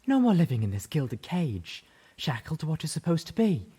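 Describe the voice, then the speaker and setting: A man's voice: fairly high pitch, sing-song, normal speed, warm tone, husky, pitched above the performer's own voice. A man voicing a unicorn mare with a luxurious voice, singing a song. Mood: soaring.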